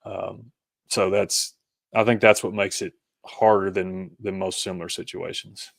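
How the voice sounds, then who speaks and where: A man speaking as an interview guest, reflective and conversational, thinking aloud in halting, thoughtful phrases. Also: sits low, level tone, gravelly, dry recording, studio quality.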